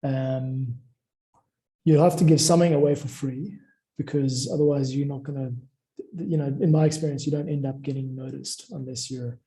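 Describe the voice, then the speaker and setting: A man chats informally in a very dark, relaxed voice at a middling pitch. He is discursive and explanatory, working through his points conversationally. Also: moderate pace, rough recording. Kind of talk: informal chat about craft.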